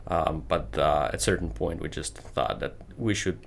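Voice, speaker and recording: A man in his thirties from Ukraine with a Ukrainian accent, speaking English as a second language and answering interview questions haltingly with many ums. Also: very deep voice, gravelly. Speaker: guest, computer business owner. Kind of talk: casual interview.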